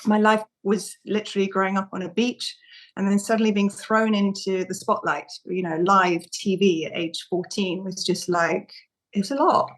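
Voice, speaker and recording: Woman, 50s, raised in Singapore and Indonesia; gentle, reflective podcast guest, mid-range voice. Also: mid-range voice, level tone, moderate pace, rough recording. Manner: gentle, reflective, with frequent restarts. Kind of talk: casual interview talk.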